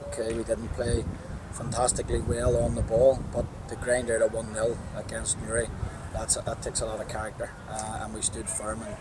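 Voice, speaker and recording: Northern Irish football manager, flat delivery. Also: male voice, lowish voice, moderate pace. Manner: plain-spoken, upbeat, restarts phrases often. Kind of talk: post-match interview. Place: outdoors at a football ground. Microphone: clip-on lapel mic. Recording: outdoor background noise.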